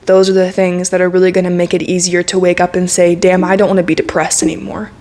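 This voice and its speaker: A woman in her twenties talking to camera in a casual, reflective monologue, candid and earnest, with slang and frequent laughs. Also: fairly low pitch. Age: 20s. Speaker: vlogger.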